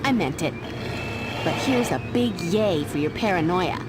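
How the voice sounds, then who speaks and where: An American actress playing a quippy, plucky young vampire slayer in a video game, breezy and flippant. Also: female voice, lowish voice, animated, measured pace, very noisy. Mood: wry, flippant. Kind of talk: video game cutscene acting.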